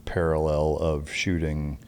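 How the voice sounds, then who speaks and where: A man's voice: very deep voice, flat delivery, takes it slowly, darkish tone. A male podcast host asks curious questions, often searching for words and restarting mid-sentence. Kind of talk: interview questions.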